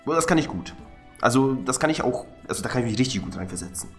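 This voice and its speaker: A man's voice: mid-range voice, expressive, quick pace, soft background music. A man co-hosting a podcast, chatting casually with self-deprecating comic banter and stammered repetitions. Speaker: podcast co-host.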